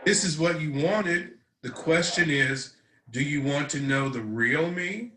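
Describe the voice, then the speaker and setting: A man's voice: a little high, lively intonation, unhurried, sharp tone, a little hiss or hum. A man playing a troubled, blunt teenage boy in a script table read. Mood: urging. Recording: roomy echoing home recording.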